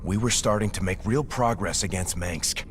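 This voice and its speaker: A man's voice: low voice, moderate pace, a bit bright. A man playing an earnest, principled second-in-command in a video game, formal and insistent. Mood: objecting. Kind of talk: dramatic video game dialogue. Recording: faint ambient noise behind.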